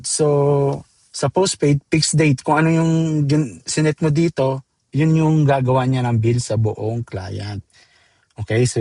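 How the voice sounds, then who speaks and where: A man with a Filipino accent explains software step by step in an informal tutorial, addressing viewers casually. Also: medium pitch, no room sound. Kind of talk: informal screen-recorded tutorial walkthrough.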